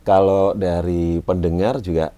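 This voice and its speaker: A man's voice: low voice, flat delivery, a little slow, dark tone. A man in his forties with a Malang Javanese accent, chatting casually as an interview guest, self-mocking and slipping in Javanese words. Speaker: guest, event MC and former radio announcer. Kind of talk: relaxed interview chat.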